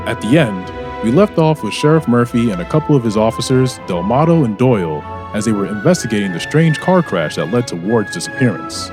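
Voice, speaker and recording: A man's voice: mid-range voice, expressive, fairly quick, hardly any pauses. A man hosting and narrating a scripted radio drama in a theatrical announcer style. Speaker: host and narrator. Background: music bed.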